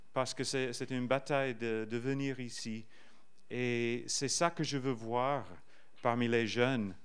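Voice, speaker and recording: A man speaking earnestly and haltingly to a church, repeating phrases as he introduces guests. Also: medium pitch, clean tone. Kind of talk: church testimony address, unscripted.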